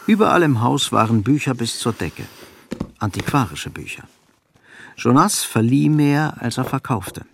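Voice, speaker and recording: A man giving measured, scripted literary narration for a radio drama. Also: mid-range voice, very animated, creaky, dry recording. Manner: measured, storytelling. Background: faint music.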